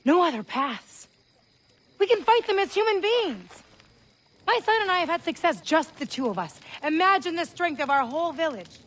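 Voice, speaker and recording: Defiant, high-voiced mother, lively pitch. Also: moderate pace, full character voice. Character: defiant Native village mother, adult woman, protective. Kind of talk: dramatic game cutscene acting.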